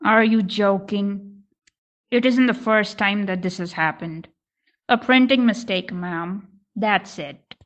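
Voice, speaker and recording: A woman's voice: medium pitch, slow pace, highs cut off, natural voice. A woman playing a stern, bullying asylum warder in an audio play, commanding and curt. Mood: curt, irritated.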